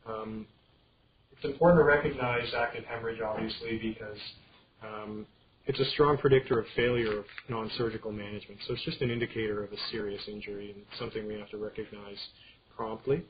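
A man with a fairly low voice gives an informal medical lecture over slides. He explains in a halting, stop-and-start manner. The recording is somewhat dull, with faint noise behind him. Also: steady tone. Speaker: radiology lecturer. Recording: dull screen-capture audio with clear background noise.

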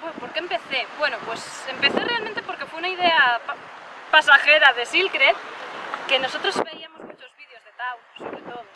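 A woman's voice: high voice, expressive, normal speed. A woman from Spain, chatting casually and playfully as she is interviewed outdoors. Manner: informal, playful, joking. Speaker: gaming video creator being interviewed. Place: outdoors by the sea, windy. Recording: wind-battered outdoor recording, distant mic.

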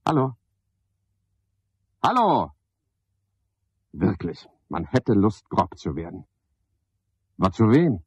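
An actor playing a pedantic, misanthropic night porter in a radio drama, a man with a husky, gravelly voice and a pompous, sardonic manner. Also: on the low side, big pitch swings, takes it slowly, pauses often, no echo, exaggerated voice. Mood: mocking. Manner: pompous, sardonic, ornate wording. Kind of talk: 1970s German radio drama monologue. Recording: dull, dry 1970s radio recording.

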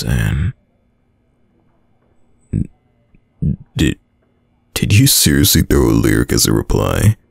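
A man playing a shy, smitten young student in an intimate boyfriend roleplay, deep-voiced, with a hesitant, stammering manner. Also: vocal fry, darkish tone, husky, dry recording, exaggerated voice. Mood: earnest, confessing. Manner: hesitant, stammering, nervous chuckles. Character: shy, smitten young male student gamer, a caring crush.

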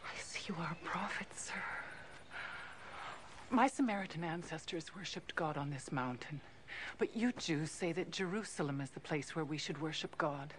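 A woman's voice: sits low, lively intonation, normal speed. An actress playing a wary, direct, middle-aged village woman in a biblical film, plain-spoken and questioning. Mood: subdued, wary. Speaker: film actor. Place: outdoors, film location. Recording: film soundtrack with outdoor ambient noise.

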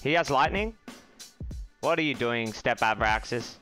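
A young man streaming a card game from a small room, swearing freely and reacting loudly to each play. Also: medium pitch, measured pace, soft background music. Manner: profane, excitable, shouting when upset. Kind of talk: live game stream commentary.

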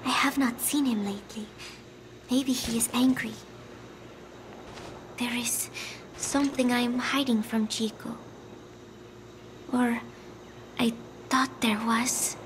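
A woman playing a gentle, confused young student in a video game, speaking softly and hesitantly and trailing off. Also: fairly high pitch, fairly even intonation, slow pace, long pauses, slight background noise. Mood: confused, weary. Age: young adult. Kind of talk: dramatic video game acting.

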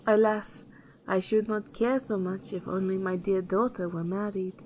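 A woman's voice: medium pitch, fairly even intonation, normal speed, a little mellow, slight background noise, close to an everyday voice, low-quality recording. Frightened, pleading queen, acted by a woman reader. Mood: mournful. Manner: pleading, lamenting. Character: frightened, despairing fairy-tale queen, anxious mother. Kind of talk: theatrical storybook voice.

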